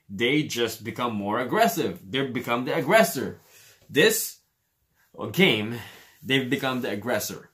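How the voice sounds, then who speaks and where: A man with a Filipino accent giving casual commentary on a basketball game, filling pauses with "uh" and "man". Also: mid-range voice, a bit bright. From Philippines. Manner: casual, many fillers, says "man" often. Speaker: fan commentator.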